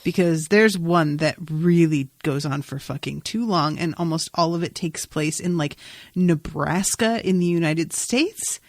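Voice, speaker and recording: A woman hosting a podcast, chatting casually and recapping a story in an informal, expressive, sweary way. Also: low-pitched, a little slow, no echo, clean studio sound. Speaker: podcast host. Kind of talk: casual chat, recapping a TV episode.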